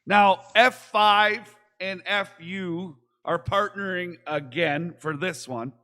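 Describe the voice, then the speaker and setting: Give me a relaxed male podcast host with a slightly high voice. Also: takes it slowly. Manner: easygoing, brief replies. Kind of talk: podcast chat.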